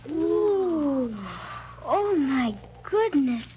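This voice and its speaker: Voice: high-pitched, lively intonation, drawn-out words, heavily acted. A performer playing a polite, curious, wide-eyed little girl in 1930s radio style. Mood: awed. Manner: earnest, marveling. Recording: muffled, noisy 1930s radio transcription.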